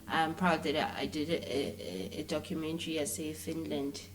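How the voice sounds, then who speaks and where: Female interview guest, South African accent, slightly low pitch, informal and animated, conversational slang. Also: normal speed. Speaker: interview guest, filmmaker and actress.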